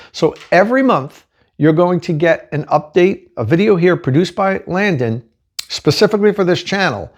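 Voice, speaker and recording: A man hosting his own channel, giving an earnest, measured scripted introduction. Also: on the high side, darkish tone. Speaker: channel host.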